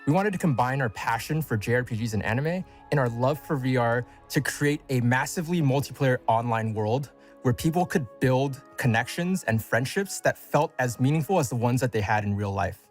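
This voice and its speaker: A man's voice: medium pitch, lively intonation, moderate pace, faint music behind. A man delivering a scripted announcement as a company co-founder addressing a community.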